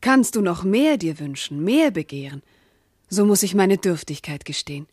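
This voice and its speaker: An actress playing a proud, loving noble wife, wounded and indignant, speaking formal, impassioned verse in a classical radio drama. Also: female voice, lowish voice, animated, moderate pace, a little mellow, slightly rough, no room sound, clean studio sound. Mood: earnest, tender.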